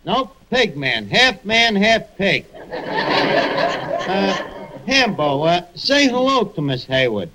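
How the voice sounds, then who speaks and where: Cocky high-pitched wisecracking bluffer. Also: male voice, sing-song, takes it slowly, pauses often, heavily acted. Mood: smug showing off. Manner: streetwise slangy speech. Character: cocky bluffing wisecracking man spinning tall safari tales. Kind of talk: 1940s radio comedy acting. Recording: noisy old radio broadcast recording.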